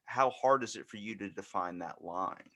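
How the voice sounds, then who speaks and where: A man's voice: on the low side, moderate pace. A man hosting a podcast interview, chatting casually in a rambling way and acting out little asides as he goes. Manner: rambling, animated storytelling with acted-out asides. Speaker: podcast host interviewing a guest. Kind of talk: casual chat.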